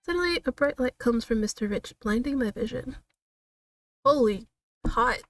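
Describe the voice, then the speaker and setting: A woman's voice: a little high, animated, normal speed, dry recording, recorded from a distance. A woman streaming a visual novel, switching between read-aloud narration and casual, giggly, self-deprecating commentary.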